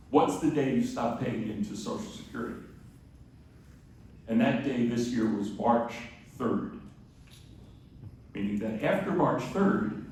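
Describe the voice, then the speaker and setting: A man delivers a public speech in a heavily reverberant room, picked up from a distance with faint noise behind him. His voice is loud and projecting, and his manner is emphatic and rhetorical, like an advocate at a town hall. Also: medium pitch. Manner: emphatic, rhetorical questions answered himself. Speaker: guest speaker from an advocacy group. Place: large echoey room at a public meeting.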